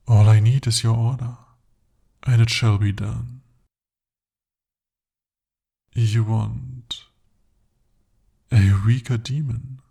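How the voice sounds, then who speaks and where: A man's voice: medium pitch, creaky, dark tone, husky, full character voice. A man voicing a devoted, possessive male demon in an ASMR roleplay, speaking formally and intimately. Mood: jealous intense. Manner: formal, intimate, slow-spoken address.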